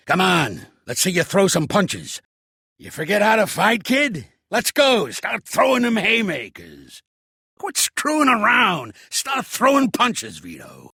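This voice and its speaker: An actor voicing a shrewd, gruff old American mobster for a video game, streetwise and blunt, with a gravelly, husky voice. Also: male voice, high-pitched, bright, forceful tone, no echo, studio quality, exaggerated voice. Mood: barking, coaching. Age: older. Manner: streetwise, commanding, blunt, slangy. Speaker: mobster mentor and boxing coach. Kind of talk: video game character acting.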